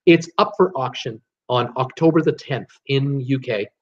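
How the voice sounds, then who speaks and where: A man's voice: mid-range voice, normal speed. A man, telling stories casually on a livestream in a rambling, hesitant way, repeating words as he thinks aloud. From Canada. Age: 62, early sixties. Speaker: host of a cruise travel livestream. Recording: dull, lo-fi livestream audio.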